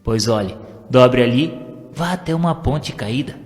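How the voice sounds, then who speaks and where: A man with a Brazilian accent voicing a kindly old male spirit who gives directions deliberately in a dramatized story. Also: mid-range voice, animated, pitched above the performer's own voice, close to an everyday voice. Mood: kindly, emphatic. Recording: long reverb, music behind.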